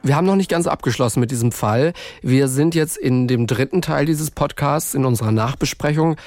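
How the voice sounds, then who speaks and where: A man's voice: medium pitch, fairly quick, darkish tone, faint music behind. A man hosting a podcast in a casual, colloquial conversational way. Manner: informal, colloquial. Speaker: podcast host.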